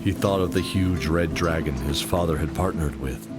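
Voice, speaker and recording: A man's voice: very deep voice, normal speed. A man narrating a scripted fantasy adventure as a dramatic storyteller. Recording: music bed under narration. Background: music.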